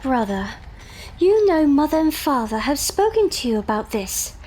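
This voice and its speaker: Woman acting high-voiced prim young Victorian lady. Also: big pitch swings, warm tone, a little hiss or hum, rough recording. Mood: prim, concerned. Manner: genteel, careful, measured. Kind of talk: period audio drama acting.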